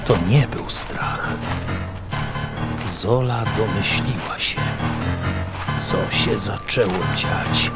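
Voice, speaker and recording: A man narrating a scripted fantasy story in a measured, dramatic way. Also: on the low side. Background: music bed.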